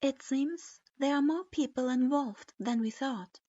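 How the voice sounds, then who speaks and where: A woman's voice: sits high, a little slow, mellow, voice pushed up. A woman voicing an earnest young girl ninja who speaks seriously. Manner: serious, grave. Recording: dull lo-fi recording.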